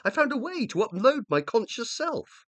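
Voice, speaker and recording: A man acting a pompous, highly animated scientist. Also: moderate pace, no room sound, exaggerated voice. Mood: affronted. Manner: pompous, theatrical, easily exasperated. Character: self-important dead male scientist, indignant, living on as uploaded consciousness. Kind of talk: radio play acting, monologue and dialogue.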